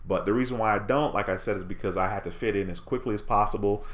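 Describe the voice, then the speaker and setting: Relaxed low-voiced man hosting a stream. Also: fast talker. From Jamaica. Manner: relaxed, friendly, reads viewer comments aloud and answers. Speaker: live stream host. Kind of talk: casual live-stream chat reading comments.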